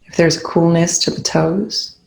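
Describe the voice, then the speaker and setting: A meditation instructor leading a guided session in a soothing, gentle, unhurried way with long pauses. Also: mid-range voice, steady tone, creaky, reverberant, rough recording.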